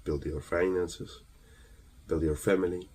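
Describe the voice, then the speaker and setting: Very deep-voiced man, slow motivational rant. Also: steady tone, warm tone, breathy edge, poor audio. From Ukraine. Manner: earnest, emphatic, halting delivery with rhetorical questions. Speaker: motivational speaker.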